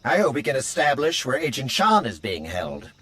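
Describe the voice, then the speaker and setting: Sci-fi fighter, blunt, bloodthirsty, medium pitch, very varied intonation. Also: male voice, wildly swooping pitch, moderate pace, sharp tone. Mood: matter-of-fact. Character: blunt, bloodthirsty combatant companion. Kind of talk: video game character acting.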